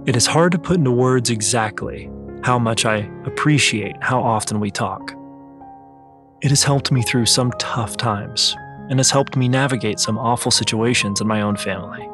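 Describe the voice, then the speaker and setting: Low-voiced man narrating over music. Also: normal speed. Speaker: essay narrator. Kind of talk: scripted first-person essay narration. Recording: music behind the voice.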